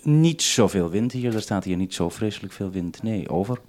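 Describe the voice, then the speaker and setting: A man's voice: on the low side, animated, moderate pace, noisy background. A man hosting an unscripted two-way radio conversation, speaking hesitantly and often interrupting himself. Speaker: radio programme maker and host.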